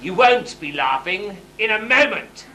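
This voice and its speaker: A woman's voice: mid-range voice, very animated, sharp tone. A woman playing a menacing, haughty pantomime villain who drawls out threats, on an amateur stage. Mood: threatening. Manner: haughty, taunting, drawn-out threats. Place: amateur stage show in a hall, audience behind. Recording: echoey hall from far off, noisy audience, hum.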